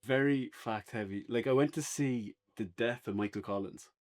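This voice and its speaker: An Irish man talks off the cuff as a podcast co-host. His pitch sits in the middle of the male range, and his style is that of casual conversation, sharing opinions in an unscripted review. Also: normal speed, darkish tone. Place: indoors on a couch, podcast setup.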